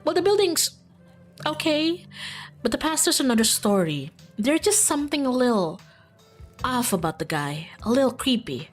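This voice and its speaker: A woman's voice: sits high, big pitch swings, normal speed, pitched above the performer's own voice. A woman voicing a friendly, easygoing young small-town woman in a visual novel, chatty and upbeat. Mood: easygoing, candid. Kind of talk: visual novel character reading. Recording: music in the background.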